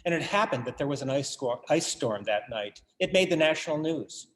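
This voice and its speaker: An actor in a staged reading, playing a bereaved writer son who tells his family story in a measured, literary, wry way. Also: fairly high pitch, expressive, lightly acted. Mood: somber, reflective. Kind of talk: dramatic monologue in a staged reading.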